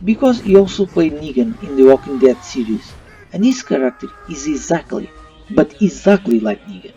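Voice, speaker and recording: A man's voice: on the high side, big pitch swings, moderate pace, dark tone. A man giving an opinion review in voice-over, with non-native English phrasing. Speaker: YouTube movie reviewer. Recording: muffled, slightly distant mic. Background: faint music.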